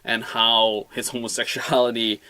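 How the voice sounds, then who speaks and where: A man's voice: mid-range voice, level tone, normal speed, bright, forceful tone, clean tone, no echo, poor audio. A man reviewing a film in a casual, unscripted way, rambling colloquially and often repeating words and phrases.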